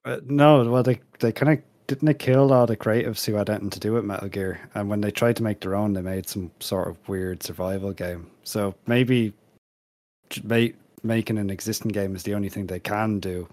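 A man's voice: fairly low pitch, fairly even intonation, normal speed, darkish tone, dry recording. A man hosting a casual panel podcast, introducing segments in a dry, chatty way. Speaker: podcast host.

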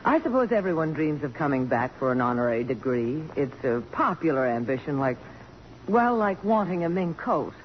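A woman's voice: sits low, big pitch swings, moderate pace. An actress playing a polished, commanding middle-aged woman of accomplishment in 1950s radio drama style. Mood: guarded, nostalgic. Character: poised, strong-willed middle-aged woman, accomplished author and dignitary. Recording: dull, noisy vintage radio recording.

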